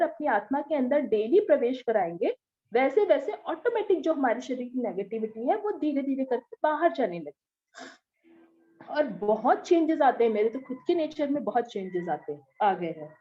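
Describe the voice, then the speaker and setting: A woman teaching an online class with a slightly hoarse throat, explaining step by step and often checking that her students follow. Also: sits high, lo-fi sound. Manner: explanatory, often asks "ठीक है?" to check understanding. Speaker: Reiki teacher.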